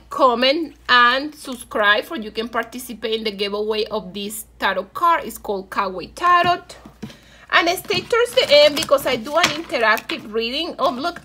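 A woman's voice: high-pitched, bright, forceful tone, a little hiss or hum. A woman from Venezuela with a Venezuelan Spanish accent, giving a casual, encouraging tarot reading and addressing viewers affectionately. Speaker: tarot reader.

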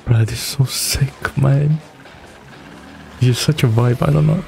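Laid-back young man, mellow and gravelly. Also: medium pitch, measured pace, soft background music. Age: young adult. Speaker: reaction video host. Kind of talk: casual reaction commentary. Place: small indoor room at a computer.

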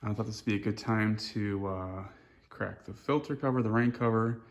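A man casually showing a chainsaw filter in his garage workshop, talking in a relaxed, rambling way with lots of fillers, recorded on a phone held close. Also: fairly low pitch, moderate pace. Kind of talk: casual hands-on show-and-tell. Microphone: phone camera held close.

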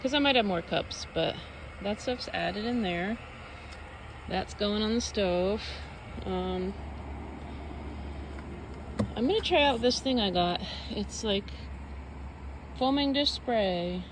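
A woman's voice: mid-range voice, slow pace, pauses often, noisy background. A young woman casually vlogging at a forest campsite, thinking aloud with lots of fillers as she films on a phone. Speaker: car-living vlogger. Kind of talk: casual vlog chat while camping. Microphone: handheld phone camera at arm's length.

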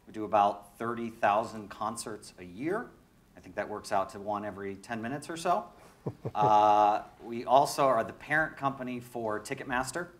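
A man in his forties, from New York, speaking as a panelist on a conference stage, brisk and matter-of-fact into a handheld microphone. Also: on the low side. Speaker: panelist, concert promoter. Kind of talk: panel discussion.

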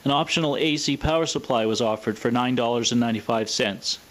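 A man presenting a scripted, explanatory product demonstration in a measured, matter-of-fact way. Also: medium pitch. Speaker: presenter of a vintage radio showcase video.

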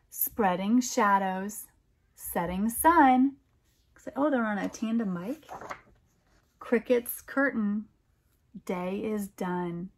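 A middle-aged American woman reads a picture book aloud, adding expressive, wondering comments for young listeners. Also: mid-range voice, vocal fry, breathy edge. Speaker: storytime reader and teacher.

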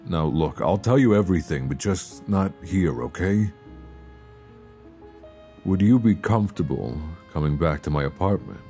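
A man playing a worried, tender mobster boyfriend in an intimate ASMR roleplay, halting and hesitant with sighs. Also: sits low, lively intonation, mellow, faint music behind.

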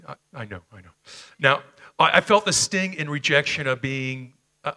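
Bright-voiced Canadian preacher, earnest storytelling. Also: male voice, medium pitch, poor audio. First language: Slovenian. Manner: earnest, personal storytelling with frequent hesitations. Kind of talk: sermon. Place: church stage, medium-sized hall. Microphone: headset mic.

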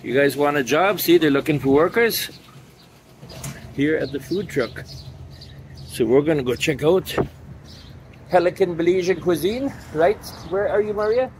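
A middle-aged man with a slightly high voice narrates a casual travel vlog. He talks straight to his viewers, pointing out sights as he goes. The recording is made outdoors on a handheld camera, with faint street noise. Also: expressive, a little slow, pauses often. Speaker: travel vlogger. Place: outdoors on city streets and parking lots. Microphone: phone or camera at arm's length.

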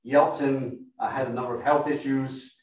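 A man with a non-American accent gives a measured, explanatory talk, often pausing on fillers. His voice sits in the middle range and sounds distant from the mic. The room is very echoey and the sound is dull and lo-fi. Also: medium pitch, little pitch movement, darkish tone. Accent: non-American English. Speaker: guest speaker, professor. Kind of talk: lecture talk with slides. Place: meeting room.

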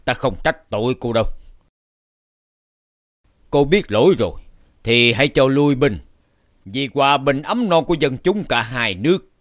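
A man's voice: medium pitch, expressive, measured pace, long pauses, no room sound, clean studio sound, natural voice. A man voicing a magnanimous, authoritative king in a fairy-tale cartoon. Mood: forgiving, solemn.

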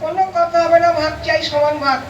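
A man's voice: extremely high pitch, little pitch movement, fast talker, a bit bright, muffled recording, a faint crowd, a little hiss or hum. A man voicing a whining, cheeky, stubborn young bachelor brother in a folk comedy puppet show. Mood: stubborn, demanding. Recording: roomy echo, distant microphone.

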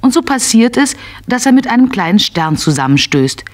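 A woman narrating a scripted children's story in short, measured phrases. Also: on the high side, big pitch swings.

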